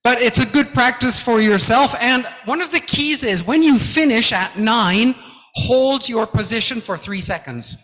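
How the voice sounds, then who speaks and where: Male guest lecturer, high-pitched and unhurried, emphatic and encouraging, echoing hall. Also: fairly bright tone. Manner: emphatic, encouraging, counts aloud in drills. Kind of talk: interactive presentation-skills lecture. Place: large lecture hall with about two hundred people. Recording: echoey hall reverb.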